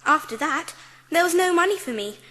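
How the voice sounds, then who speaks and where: Casting: gentle British schoolgirl of seven, light high voice, animated, polite and earnest, for dramatised storybook. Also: a little fast, long reverb tail, full character voice. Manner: well-spoken, sweet, earnest. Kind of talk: dramatised audiobook acting.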